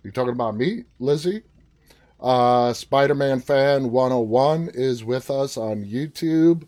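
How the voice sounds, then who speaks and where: A man's voice: mid-range voice, unhurried, a little mellow. A man from New York with a New York accent, hosting a casual live show and chatting as he reads viewer comments. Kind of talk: casual live-stream chat.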